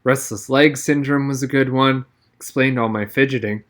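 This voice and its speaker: A man reading a novel aloud as an expressive narrator, with wry first-person storytelling. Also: medium pitch, moderate pace. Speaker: reader, narrator. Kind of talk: expressive audiobook-style read-aloud of a novel.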